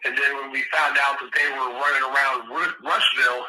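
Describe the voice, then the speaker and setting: A man's voice: mid-range voice, level tone, fairly quick, bright, forceful tone. A man talking casually on a recorded phone call, blunt and coarse-spoken. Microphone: telephone.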